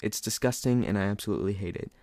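A man's voice: fairly low pitch, very fast, darkish tone, no room sound. A man giving a scripted, sarcastic movie review, talking in an exasperated, critical way.

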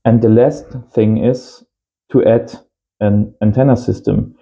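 Man in his thirties, slow pace, very dark gravelly voice, casual tutorial host, short phrases. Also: lowish voice, fairly even intonation. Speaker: host of amateur radio channel. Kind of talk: casual hands-on tutorial narration. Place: small indoor home workshop.

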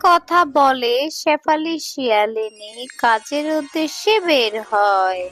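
A woman narrating a scripted children's animated story. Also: sits high, takes it slowly. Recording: music behind the voice.

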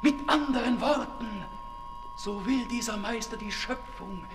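An actor in a 1960s German radio play, playing a mocking, declamatory denouncer who sneers with mock learning. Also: male voice, extremely high pitch, a little slow, very noisy. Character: mocking male denouncer jeering at a scientific dilettante. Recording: reverberant 1960s radio recording with music.

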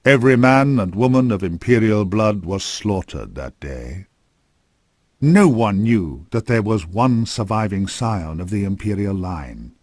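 A man with a fairly low voice delivers scripted fantasy narration. His storytelling is grave and measured, as for a dark video game saga. The sound is dry and close, free of room echo. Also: expressive.

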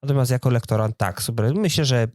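A man's voice: medium pitch, fast talker, a little mellow, no echo. Man talking casually, dry sound. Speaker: podcast co-host. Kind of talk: casual chat.

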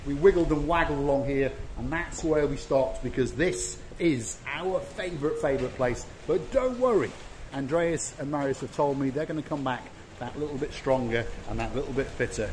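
A man's voice: mid-range voice, normal speed, a little mellow, slight background noise, poor audio. A man in his fifties presenting a walking travel vlog to camera, enthusiastic and chatty, filmed on a phone at arm's length outdoors at a seaside harbour. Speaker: vlogger. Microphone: phone held at arm's length.

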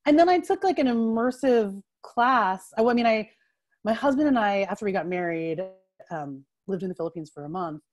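A woman's voice: mid-range voice, animated, moderate pace, a little mellow. A woman in her forties chatting on a video call from home through wired earbuds, laughing often, with fillers and restarts. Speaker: interview guest, writer. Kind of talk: casual video call conversation. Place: home room with bookshelves.

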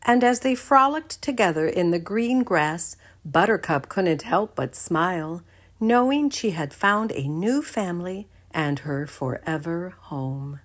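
A woman's voice: on the low side, expressive, unhurried. A woman reading a children's storybook aloud expressively.

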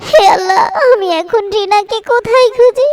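A poor village mom worried sick, cartoon, slightly noisy. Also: female voice, very high-pitched, normal speed, a little mellow, far from the mic. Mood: distraught, pleading. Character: worried poor village mother searching for her daughter. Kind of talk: cartoon voice acting.

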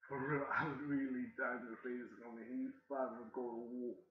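Young guy hyped up and rambling over a game video, repeats himself a lot, flat monotone delivery, echoey dull-sounding room. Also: mid-range voice, a little hiss or hum. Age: 20s. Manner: repetitive, excited, halting with many restarts. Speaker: gaming reaction host. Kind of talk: rambling casual reaction commentary. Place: indoor room at home. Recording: roomy, slightly dull home recording.

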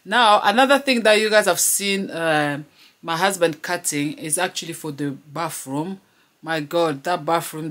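A woman's voice: sits low, a bit bright. A woman in her thirties, originally from DR Congo, chatting casually to camera in a vlog from a small room at home, often repeating her phrases and laughing between lines. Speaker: vlogger. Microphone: camera close to the face.

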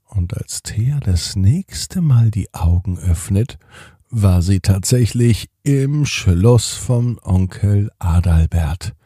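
A man hosting a children's podcast, narrating a bedtime story in a deliberate way and speaking directly to young listeners. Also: fairly low pitch, expressive, mellow, husky, no room sound, clean studio sound. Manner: addresses children directly, slow deliberate phrasing with repetitions.

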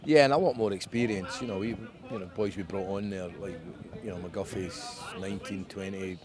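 A man's voice: sits low, normal speed, a crowd in the background. A man in his fifties, a football manager giving a frank post-match interview outdoors at a windy ground. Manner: frank, conversational, plain-spoken. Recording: outdoor recording with wind noise.

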